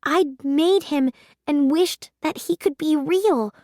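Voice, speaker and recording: A woman narrating a children's story aloud in a deliberate, expressive way. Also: sits high, dry recording, studio quality.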